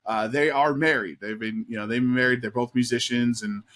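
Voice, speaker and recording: A man of middle pitch co-hosting a podcast in casual chat. He talks with enthusiasm, rambling and leaning on fillers as he goes. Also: steady tone, fairly quick, a bit bright. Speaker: podcast co-host.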